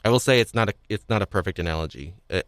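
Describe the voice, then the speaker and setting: A man hosting a podcast, talking casually about audio dramas in a halting, hedging way and often chuckling. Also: low voice, dry recording, clean studio sound. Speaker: podcast host.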